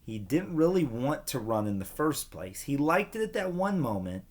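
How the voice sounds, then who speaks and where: A man reading a children's storybook expressively, with dramatic pauses and casual asides that question the young listeners. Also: medium pitch, big pitch swings, darkish tone. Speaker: read-aloud storyteller for children.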